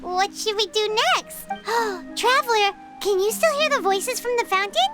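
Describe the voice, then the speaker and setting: A woman voicing a tiny, excitable, childlike fairy companion in a video game, cutesy, curious and chatty. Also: measured pace, over music. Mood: excited, puzzled. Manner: squeaky, cutesy, refers to self by name. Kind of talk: scripted video game dialogue acting.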